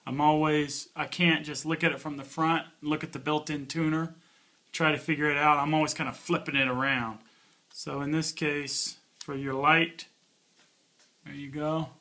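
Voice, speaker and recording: Bright-voiced man doing a casual gear review. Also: on the high side, little pitch movement, normal speed. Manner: informal, offhand. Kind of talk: casual hands-on product review.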